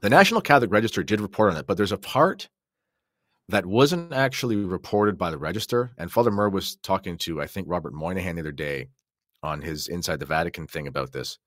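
A man's voice: deep voice, expressive, quick pace, fairly bright tone, no echo, clean studio sound. A man giving enthusiastic, explanatory commentary in a conversational podcast style. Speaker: podcast guest or co-host commentator.

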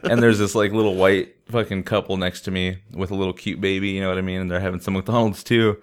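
A man's voice: low-pitched, quick pace, clean tone. A man chats casually as a podcast co-host. He tells his story in loose, slangy, swear-filled language, acting out what people said as he goes. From San Diego, California, United States. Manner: profane, slangy, animated storytelling with acted-out quotes. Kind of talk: casual chat, anecdote telling.

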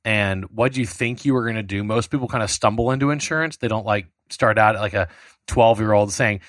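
A man hosting a podcast interview, asking questions in a halting way with many restarts and a playful touch. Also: fairly low pitch, fairly bright tone, no room sound, clean studio sound. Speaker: podcast host.

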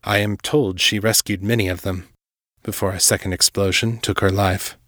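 A man acts out an urbane vampire lord in his fifties for an audiobook. The voice is very low and closely dry. He speaks formally and without hurry, gently condescending, like someone used to command. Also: steady tone, no echo, lightly acted. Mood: grave, measured. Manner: formal, unhurried, smoothly chiding.

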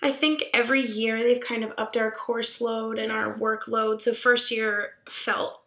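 Raspy-voiced young woman, chatty. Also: fairly high pitch, measured pace. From Pittsburgh. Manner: chatty, animated, laughs often. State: hoarse from a cold. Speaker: veterinary student guest. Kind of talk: casual podcast chat.

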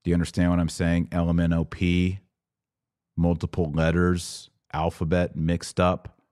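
A man's voice: low voice, monotone, moderate pace, darkish tone, dry recording, clean studio sound. A middle-aged man hosting a podcast, delivering religious commentary in an emphatic, preachy yet conversational way. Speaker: podcast host.

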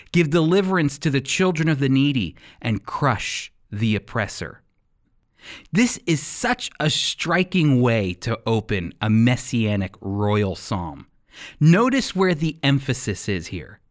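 An American man teaching the Bible like a radio preacher, with emphatic, deliberate phrasing and rhetorical questions. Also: medium pitch. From United States. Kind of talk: sermon-style Bible teaching with scripture reading.

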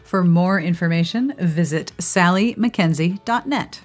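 Low-voiced woman hosting a chatty podcast. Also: a little slow, soft background music. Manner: chatty, playful, exclaims readily. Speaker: podcast host and interviewer. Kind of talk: upbeat podcast intro, ad read and interview.

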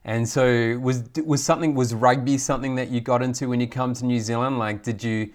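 A man's voice: medium pitch, steady tone, fairly quick. A male host interviews his guest with friendly, long, wandering questions. His voice sits in a mid range and his tone stays conversational. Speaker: podcast host.

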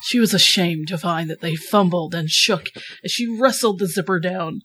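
A woman's voice: fairly low pitch, normal speed, a bit bright, husky. A woman co-hosting a podcast, giggly and self-conscious, chatting casually and narrating amateur fan fiction. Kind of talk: casual chat and amateur story reading.

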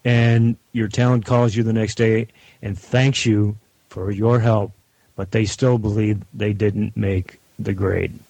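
Measured man in a dry studio sound. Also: fairly low pitch, level tone, vocal fry, warm tone, dry recording, poor audio. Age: middle-aged or older. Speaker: guest audio producer. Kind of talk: reflective panel talk.

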